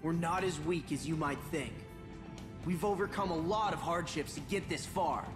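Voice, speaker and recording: Earnest young male hero, acted for a game cutscene: high, emphatic voice in long, ringing reverb. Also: normal speed, music in the background, lightly acted. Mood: determined, questioning. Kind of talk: dramatic video game acting. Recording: echoing reverberant hall.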